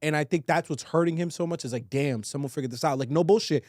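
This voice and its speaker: A man's voice: fairly high pitch, fast, a little mellow, no echo, clean studio sound. A man talking casually and with opinions as a podcast co-host, slangy and emphatic, swearing and repeating phrases for weight.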